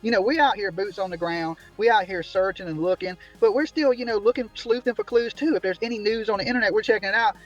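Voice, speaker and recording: A man's voice: sits high, fast, hardly any pauses, lo-fi sound, soft background music. A man ranting indignantly on a livestream from a small room, repeatedly asking "right?" as he complains. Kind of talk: heated livestream commentary.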